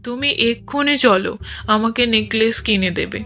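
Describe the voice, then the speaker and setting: A woman's voice: on the high side, a little slow, sharp tone, muffled recording. A woman voicing a demanding cartoon wife who keeps insisting on being bought things. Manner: insistent, wheedling. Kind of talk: cartoon voice acting.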